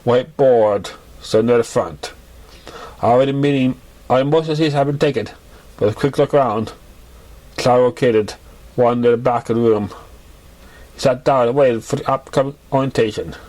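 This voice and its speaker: Male amateur storyteller, average pitch, reading scripted narrative prose. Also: normal speed, long pauses, darkish tone, low-quality recording.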